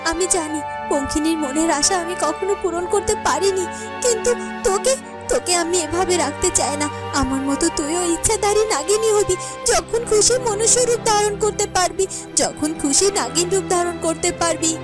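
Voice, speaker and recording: A kind young serpent woman from a cartoon fairy tale, acted by a woman. Her high voice is tender and earnest, with repeated phrases, over clear music. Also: moderate pace. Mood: sad, resolute.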